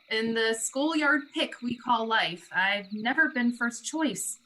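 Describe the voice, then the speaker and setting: A woman's voice: on the high side, slow pace, pushed and bright, a little hiss or hum, poor audio. A woman playing a self-deprecating young sorority treasurer in a dramatic reading, with a dry, wry manner. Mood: wry, unsure.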